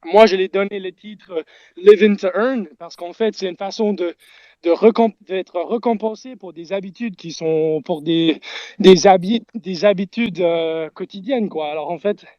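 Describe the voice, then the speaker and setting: A man's voice: high voice, unhurried, warm tone, no echo, highs cut off. A Canadian man, a native English speaker, chatting in French with a noticeable English accent, hesitating as he searches for words. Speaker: guest on an audio space. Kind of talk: casual chat.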